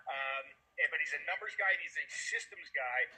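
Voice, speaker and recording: A man with a Boston accent hosting a video call, introducing speakers in an energetic, rambling motivational pitch. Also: moderate pace, rough recording. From Massachusetts, USA.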